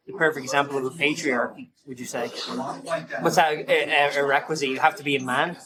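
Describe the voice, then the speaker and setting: A man interviewing a band with dry, deadpan, teasing questions. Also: a little high, fairly quick, poor audio. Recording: crowd chatter behind.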